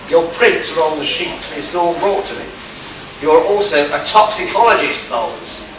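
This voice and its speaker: Stern, deliberate detective for a radio play, male actor, distant from the mic, clear background noise. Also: a little high, natural voice. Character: stern, shrewd male detective accusing murder suspects. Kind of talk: amateur radio play acting. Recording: echoey, distant live recording with noise.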